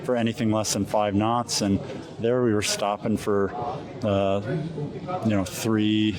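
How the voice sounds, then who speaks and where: American male pilot, interviewee, low-pitched, conversational and halting, recorded amid clear crowd chatter. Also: flat delivery. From Alaska, USA. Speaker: competition glider pilot being interviewed. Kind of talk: casual interview, recounting a flight.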